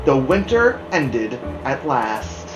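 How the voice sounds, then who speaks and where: A woman narrating a children's story aloud as a host, in an expressive storytelling style. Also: extremely low pitch, slow pace, music in the background. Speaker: storybook reader, podcast host. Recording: roomy, echoing room sound.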